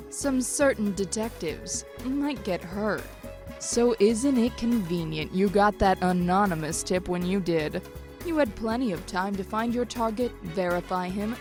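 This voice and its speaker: A woman's voice: mid-range voice, close to an everyday voice. A woman doing a sly teasing bat. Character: sly female bat spy, teasing and smug. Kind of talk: fan comic dub. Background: background music.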